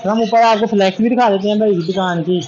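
A man's voice: high-pitched, steady tone, fast talker, a little mellow. A man with a Pakistani accent hosting a casual market tour, asking prices and repeating the answers back. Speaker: vlogger interviewing a shopkeeper. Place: busy bird market shop. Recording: noisy lo-fi market recording with bird sounds.

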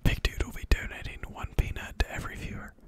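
A person commentating a livestream in a breathy ASMR-like whisper, with a playful, deadpan mock-announcer delivery. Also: fast talker.

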